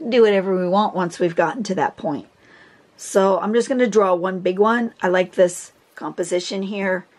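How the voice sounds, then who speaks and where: Woman host, hoarse with a cold, casual rambling tutorial delivery, recorded indoors at a table. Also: mid-range voice, normal speed. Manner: chatty, rambling, many fillers and throat clearing. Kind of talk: casual instructional talk. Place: indoors at a table, filming a phone screen.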